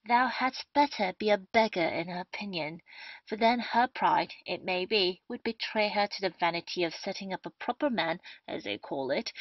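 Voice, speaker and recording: A woman's voice: mid-range voice, dry recording, close to an everyday voice. A woman playing a witty, cynical rake of a captain in a period comedy audio play, declaiming with mockery. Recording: dull, dry lo-fi audio.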